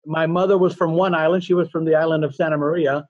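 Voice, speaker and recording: A talkative man in his sixties, chatting as a guest with a self-deprecating, rambling manner. His voice sits a little high for a man, in a dry room with no echo. The recording sounds dull and lo-fi. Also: steady tone, quick pace. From California, USA. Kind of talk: casual interview chat.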